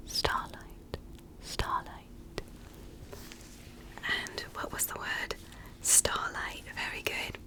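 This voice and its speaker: A woman whispering softly and breathily in a gentle, reassuring ASMR roleplay as a doctor giving an exam. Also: low-quality recording. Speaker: ASMR performer playing an ear doctor.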